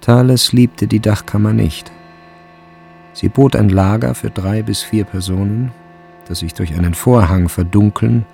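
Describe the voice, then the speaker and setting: A man narrating a literary radio drama in a measured, deliberate way. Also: deep voice, creaky, warm tone.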